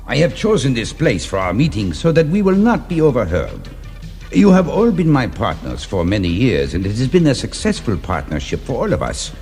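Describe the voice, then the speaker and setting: A man's voice: medium pitch, darkish tone. An actor playing a suave, menacing industrialist villain in his late thirties, formal and falsely polite, in an audio storybook. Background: background music.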